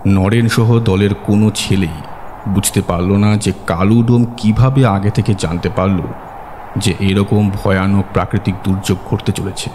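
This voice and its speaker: Deep-voiced man narrating horror, in reverb. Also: a little mellow, a little hiss or hum. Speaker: story narrator. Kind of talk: dramatic scripted horror narration. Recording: long reverb, music bed behind.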